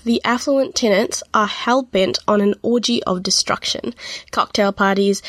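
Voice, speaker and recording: A woman hosting a podcast in a rambling, chatty way, her voice a little croaky and nasal from being unwell. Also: mid-range voice, no room sound. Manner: rambling, chatty, frequent ums and apologies. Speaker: podcast host. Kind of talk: casual solo podcast chat.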